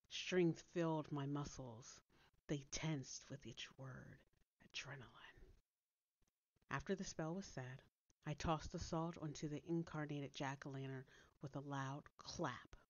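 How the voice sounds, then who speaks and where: A woman reading a story aloud as a dramatic, deliberate narrator with theatrical delivery. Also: low-pitched, no room sound, lo-fi sound. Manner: deliberate, theatrical, dropping to hushed tones. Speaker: author reading own story. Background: soft background music.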